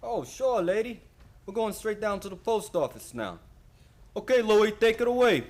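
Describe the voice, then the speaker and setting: A man plays a chummy postman in a radio play, full of eager schemes. The voice sits high and moves in big, lively swings. He talks in slangy, working-class patter. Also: normal speed.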